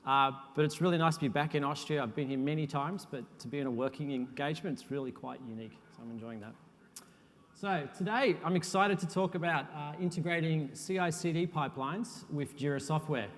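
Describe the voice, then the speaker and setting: Male conference presenter, slightly high voice, easygoing and self-deprecating, recorded in a reverberant hall. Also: a little fast. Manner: relaxed, self-deprecating presenter with frequent uhs. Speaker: conference speaker, software architect. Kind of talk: technical conference talk.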